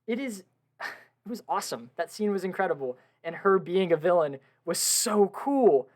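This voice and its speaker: A man's voice: sits high, expressive, moderate pace, darkish tone. A young man co-hosting a casual podcast, talking about a film with eager, gushing enthusiasm and frequent laughs. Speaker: podcast co-host. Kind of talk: casual film review chat. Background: washing machine at home.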